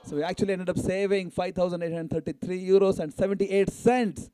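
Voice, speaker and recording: High-pitched man rallying a gathering. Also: level tone, a little fast, clean tone. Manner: exhorting, prompting the crowd for louder responses. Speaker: event announcer and fundraiser at a temple. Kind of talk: live community announcements, rallying the audience. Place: spoken live to a gathering at a temple event.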